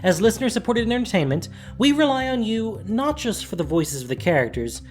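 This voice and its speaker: Audio drama narrator, mid-pitched voice, scripted prose delivery, faint music underneath. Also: big pitch swings, fairly quick.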